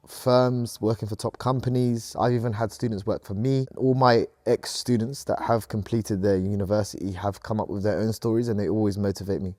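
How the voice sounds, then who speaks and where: A man's voice: on the low side, fairly even intonation, brisk, hardly any pauses, mellow, no echo, clean studio sound. A man in his thirties from Sudan, a company co-founder answering interview questions in an earnest, upbeat way.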